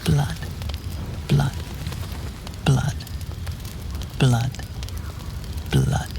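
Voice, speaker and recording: A woman playing the ominous narrator of a dark fantasy video game, speaking in a deliberate, portentous, often near-whispered way. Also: a bass voice, sing-song, vocal fry, background noise, exaggerated voice. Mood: haunted, dark. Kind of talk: scripted second-person game narration. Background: music.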